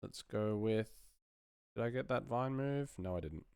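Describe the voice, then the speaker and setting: A man's voice: on the low side, lively intonation, a little slow, creaky, a little mellow, clean tone, no echo, clean studio sound. A man working as a sound designer, muttering casual think-aloud commentary to himself as he works. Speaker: sound designer live-streaming his work.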